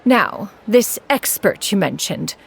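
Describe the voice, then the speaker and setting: A woman's voice: medium pitch, animated, takes it slowly, creaky, husky, exaggerated voice. A woman voicing a haughty, ancient immortal mentor in a video game, with imperious and formal, archaic diction. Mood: amused, indulgent.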